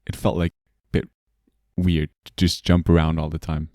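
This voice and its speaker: A man's voice: a bass voice, flat delivery, normal speed, a little mellow, dry recording, studio quality. A man co-hosting a podcast, laid-back and teasing, mostly giving short agreeing replies. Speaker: podcast co-host. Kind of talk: casual chat.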